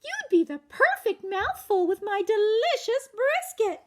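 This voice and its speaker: An excitable woman from a children's story, acted out by a female reader. She calls out in a shrill, very high voice. Her pitch swoops widely as she speaks. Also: a little slow, full character voice. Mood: excited.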